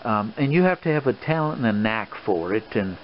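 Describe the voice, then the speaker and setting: Old gentleman colonel played by a man, swashbuckling gambler for ASMR role-play: boastful, paternal, hesitant folksy rambling, dull lo-fi audio with plain background noise. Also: lowish voice, expressive, brisk, lightly acted. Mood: fatherly, cautioning. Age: older.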